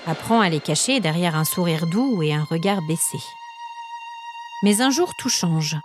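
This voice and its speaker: A woman's voice: on the low side, a little mellow. Suspenseful woman storyteller over music. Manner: measured, suspenseful, short weighted phrases. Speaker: storyteller, podcast narrator. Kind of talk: scripted dramatic narration.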